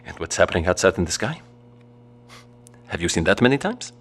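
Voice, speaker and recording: A man acting in an audio drama as a frightened, protective father with a low, gravelly, husky voice. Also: sing-song, fairly quick, fairly bright tone, exaggerated voice. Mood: fearful, uneasy. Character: frightened, protective father among disaster survivors.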